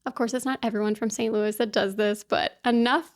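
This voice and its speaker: A woman from talks straight to her viewers in a casual book vlog. Her voice sits a touch on the high side, and her manner is chatty and upbeat, full of little asides. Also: fairly even intonation, brisk. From Kansas City, US. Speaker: book vlogger. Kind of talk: casual chat to camera.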